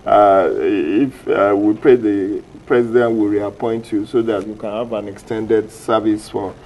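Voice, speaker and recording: A man's voice: lowish voice, fairly even intonation, unhurried, darkish tone, rough recording. A middle-aged Nigerian man hosting a morning TV interview in a studio, asking long, rephrased questions. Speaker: TV show host.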